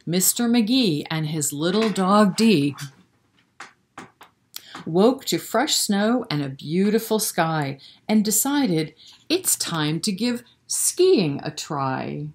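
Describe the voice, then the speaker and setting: A woman reading a picture book aloud to children in an expressive way, pausing to explain and prompt her young listeners. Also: fairly low pitch. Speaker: children's storyteller at a library.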